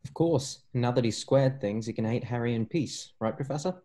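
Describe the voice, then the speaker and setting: Male actor as schoolboy wizard, cheeky, loyal, soft dark tone, mid pitch, fantasy table read. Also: normal speed, natural voice.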